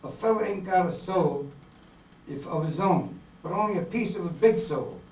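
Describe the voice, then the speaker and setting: A man's voice: high voice, animated, normal speed, darkish tone. A man playing an earnest, idealistic young farm-born outlaw in folksy rural dialect, in a staged radio drama reading. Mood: earnest conviction. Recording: roomy hall, distant mic, faint noise.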